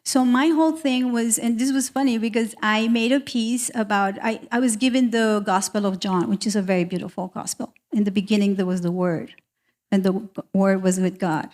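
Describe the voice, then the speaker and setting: A middle-aged woman speaks as an artist on a panel. Her voice sits at a medium pitch. She talks in a candid, emphatic, conversational way, moving between principle and personal story. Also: darkish tone. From South America.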